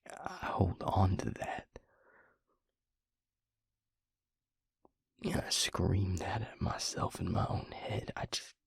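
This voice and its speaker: Brooding male vampire, played by a man for intimate audio roleplay: low, very husky and breathy, halting, weighed down by guilt. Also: no room sound, clean studio sound, heavily acted. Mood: anguished, self-blaming. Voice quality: very gravelly, husky, breathy near-whisper. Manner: slow, halting, brooding confession. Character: weary, guilt-ridden male vampire and former healer, confiding in his mate. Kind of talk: intimate audio roleplay drama, hushed monologue.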